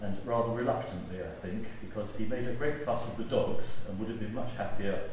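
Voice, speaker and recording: A man giving an informal, gently humorous anecdotal eulogy at a memorial service. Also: on the low side, a little fast. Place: large stone church, indoors. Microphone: far camera. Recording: echoey church, distant mic, noisy.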